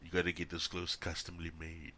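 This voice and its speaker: A man hosting casual reaction commentary, loose and joking with quick exclamations. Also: deep voice, completely flat delivery, pushed and bright, slight background noise. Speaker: host reacting to videos.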